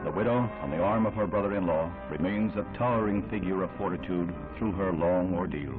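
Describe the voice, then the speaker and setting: A man's voice: low voice, fairly quick. Solemn American man narrating a tinny vintage newsreel. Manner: solemn, dramatic, declamatory delivery. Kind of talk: 1960s newsreel scripted narration. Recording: tinny band-limited vintage newsreel, music behind.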